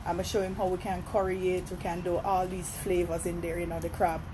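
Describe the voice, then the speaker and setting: A woman from Jamaica with a Jamaican accent, chatting casually on a phone live stream at a seafood restaurant and breaking off her stories to give viewers shout-outs. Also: lowish voice, level tone, brisk, noisy background. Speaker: vlogger.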